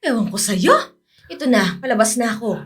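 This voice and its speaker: A fairly high female voice plays an older mother in a radio romance. She is affectionate, bashful and a little cautious. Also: lively intonation, fairly quick, breathy edge. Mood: bashful, teasing. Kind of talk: radio drama acting.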